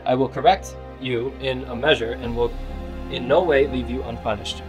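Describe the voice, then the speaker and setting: A man's voice: medium pitch, fairly even intonation, normal speed, music in the background. A man giving a reading of scripture. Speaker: Bible reader.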